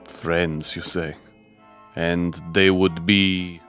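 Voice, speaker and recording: An actor playing a wary, weary fugitive Soviet army major in a radio drama, speaking curtly and with suspicion. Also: male voice, low voice, flat delivery, very slow, a bit bright, faint music behind, exaggerated voice. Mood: suspicious. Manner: curt, guarded, suspicious.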